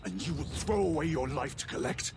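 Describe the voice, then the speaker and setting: An actor playing a stern, terse space soldier officer in a video game. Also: male voice, a little high, big pitch swings, fairly quick, over music. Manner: terse, commanding. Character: stern, commanding armoured space soldier lieutenant. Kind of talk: video game cinematic acting.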